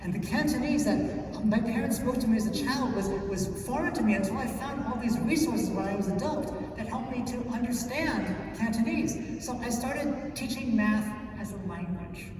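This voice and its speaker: A man from New York City giving a short conference talk as a math teacher, relaxed and conversational with frequent "um"s. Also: very high voice, moderate pace, hardly any pauses. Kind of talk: short prepared talk on stage. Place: large conference hall. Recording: echoey hall, picked up from far off, noisy.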